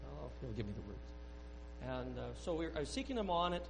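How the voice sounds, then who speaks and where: A man sharing a personal testimony at a church service, speaking earnestly and haltingly with many restarts. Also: on the high side, sing-song, vocal fry, a little hiss or hum, rough recording. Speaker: church member giving a testimony. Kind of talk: rambling spoken testimony.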